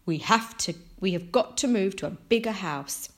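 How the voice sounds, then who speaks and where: A woman's voice: mid-range voice, lively intonation, normal speed, close to an everyday voice. A woman voicing a firm, serious father in a children's storybook, speaking emphatically.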